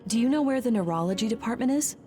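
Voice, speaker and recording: A woman's voice: on the high side, animated, quick pace, music in the background, close to an everyday voice. A woman playing a flustered, apologetic civilian in a video game cutscene.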